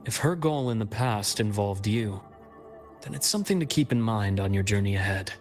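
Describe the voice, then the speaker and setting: An actor playing a composed, shrewd investigator in a video game, speaking in a measured, analytical way. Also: male voice, lowish voice, lively intonation, faint music behind, lightly acted. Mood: composed, serious. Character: composed, shrewd male investigator sharing intelligence. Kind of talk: scripted video game dialogue.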